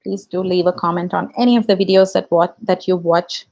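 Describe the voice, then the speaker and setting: A woman's voice: fairly low pitch, fairly even intonation, brisk, creaky, darkish tone. A woman with an Indian English accent and Tamil as her first language, chatting reflectively on a live stream as a YouTube host, with fillers and restarts. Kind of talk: casual live-stream chat.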